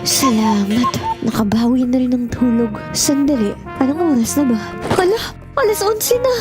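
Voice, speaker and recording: A woman playing an earnest, easily flustered young artist in a Filipino audio drama, expressive and with a Filipino accent. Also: high-pitched, normal speed. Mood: panicked. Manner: expressive, girlish, exclamatory. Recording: faint music behind.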